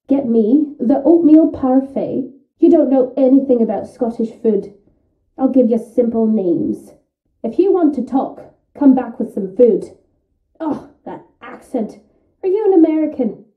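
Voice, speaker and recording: Muffled, echoey, surly high-voiced woman. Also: animated, a little slow. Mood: surly, demanding. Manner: blunt, combative. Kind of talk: video game character dialogue.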